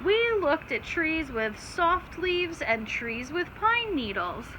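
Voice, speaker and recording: High, animated woman reading to children. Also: takes it slowly, poor audio. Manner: instructive, asks listeners questions, simple explanations. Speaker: teacher reading aloud to children. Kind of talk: children's read-aloud with explanatory asides. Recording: clear background noise.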